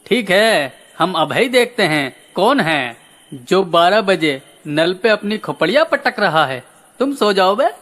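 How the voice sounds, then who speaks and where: Female actor doing a rude village man, brash, scolding, rustic, very animated swinging pitch, cartoon story. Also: unhurried. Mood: grumpy. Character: rude, bullying village man with a quick temper. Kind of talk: dramatized Hindi village horror story.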